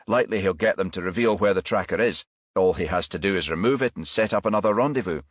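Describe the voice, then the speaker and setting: A man voicing a businesslike, decisive male police boss in a crime audiobook, measured as he gives orders. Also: low voice, dry recording, studio quality.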